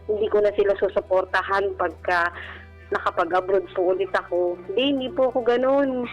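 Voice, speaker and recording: A Filipino woman calling in to a radio advice show, telling her personal story in a halting, polite way. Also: medium pitch, muffled recording. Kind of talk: personal account in conversation. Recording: radio broadcast with music underneath. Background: background music.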